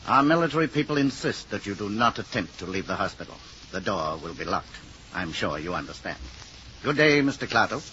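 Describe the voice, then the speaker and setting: An actor playing a polite, uneasy presidential secretary in an old radio drama, diplomatic and hesitant. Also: male voice, fairly low pitch, big pitch swings, a little fast, sharp tone. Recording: muffled, noisy old radio recording.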